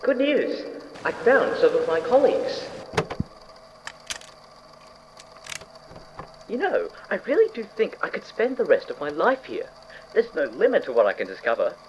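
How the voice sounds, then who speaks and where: An eccentric, slightly unhinged male scientist, acted by a man dictates an audio-drama lab log. His pitch swings about as he muses and questions himself with blithe enthusiasm. The tape is dull and lo-fi, with clear noise behind the voice. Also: big pitch swings, moderate pace. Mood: giddy excitement. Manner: musing aloud, rhetorical questions to himself.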